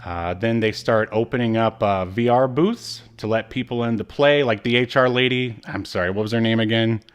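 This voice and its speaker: A man's voice: medium pitch, moderate pace, smooth. A man co-hosting a podcast, chatting casually through a recap with rambling, jokey asides. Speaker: podcast co-host. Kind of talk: casual chat, recapping a TV episode.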